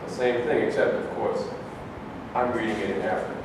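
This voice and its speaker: A man's voice: medium pitch, steady tone, brisk, natural voice. Man acting an indignant debater, distant and echoey. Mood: guarded. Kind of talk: staged reading of scripted dialogue. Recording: distant mic, echoey hall, noisy.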